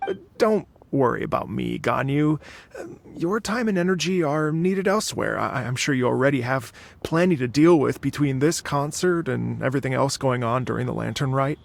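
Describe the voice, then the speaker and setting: An actor voicing a gentle, earnest middle-aged male musician in a video game, polite and humble. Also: medium pitch, expressive, moderate pace, creaky, a little hiss or hum.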